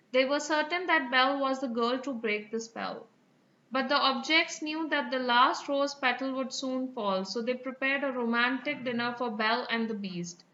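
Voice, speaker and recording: High, bright woman reading a storybook. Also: highs cut off. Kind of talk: scripted children's storybook narration.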